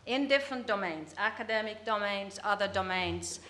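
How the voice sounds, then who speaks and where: Bright-voiced Finnish woman presenting. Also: medium pitch, normal speed. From Finland. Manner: hesitant, restarts words mid-sentence. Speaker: conference presenter. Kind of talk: academic presentation. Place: conference hall.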